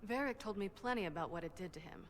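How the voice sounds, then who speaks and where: A woman's voice: medium pitch, expressive, fast, slight background noise, natural voice. An actress voicing a brusque, impatient female dwarf adventurer with a curt, sardonic manner in a video game. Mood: matter-of-fact. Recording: game audio with roomy echo.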